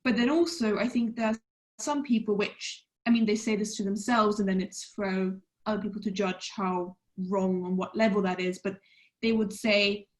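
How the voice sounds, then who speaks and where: A woman from Austria speaks on an online student panel in a voice of middling pitch. She builds her points carefully, often hedging with "I think". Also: rough recording.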